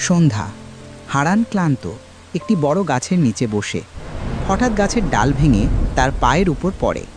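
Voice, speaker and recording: A man's voice: a little high, very animated, normal speed, music in the background. A man narrating as the storyteller of a village moral cartoon. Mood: dramatic.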